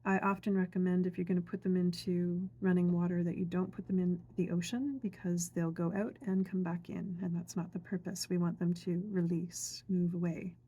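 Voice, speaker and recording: A middle-aged woman gently explaining a traditional folk craft step by step, unhurried, with many fillers and asides. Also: fairly low pitch, little pitch movement, breathy edge, muffled recording. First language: English. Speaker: craft teacher. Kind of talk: calm step-by-step craft demonstration.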